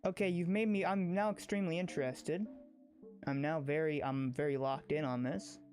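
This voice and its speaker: Casting: informal livestream gamer, mid-pitched voice, excitable and rambling, music playing underneath. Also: normal speed. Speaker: game streamer. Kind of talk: casual livestream chat.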